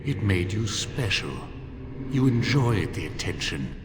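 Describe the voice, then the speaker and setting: Deep, echoing guardian spirit. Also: male voice, very animated. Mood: solemn, probing. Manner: measured, probing, grave. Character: solemn ancient male guardian spirit of a holy shrine. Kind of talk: fantasy game voice acting. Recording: long echoing hall, music behind.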